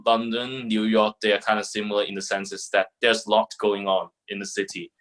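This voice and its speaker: Young Hong Kong-accented man, lowish voice. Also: flat delivery, brisk, fairly bright tone, rough recording. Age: 20s. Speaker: podcast guest, young lawyer. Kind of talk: casual interview answers.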